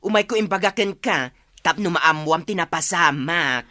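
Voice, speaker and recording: Bright-voiced, righteous village woman. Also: lowish voice, lively intonation, moderate pace, no echo, clean studio sound. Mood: calm, reporting. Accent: Ilocano. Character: scolding, righteous village woman breaking up fights. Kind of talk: Ilocano comedy radio drama acting.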